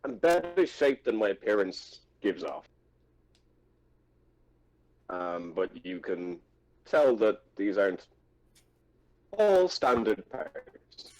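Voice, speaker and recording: A man playing a laconic, cocky, battered android pilot in a tabletop game, in a deadpan, clipped manner. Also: on the low side, takes it slowly, long pauses, heavily acted, poor audio. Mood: guarded.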